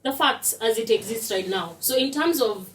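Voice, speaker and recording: A woman's voice: on the high side, normal speed, slight background noise. Woman presenting in an echoey room. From Kenya. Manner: explanatory, steady, uses "basically" as a filler. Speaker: student presenter. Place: lecture room. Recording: roomy echo, distant mic.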